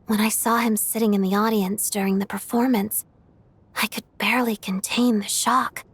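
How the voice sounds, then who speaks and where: An actress voicing a secretive, earnest female undercover operative in a video game, with a measured, confiding delivery. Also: medium pitch, fairly even intonation, breathy edge, natural voice. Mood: troubled, confiding.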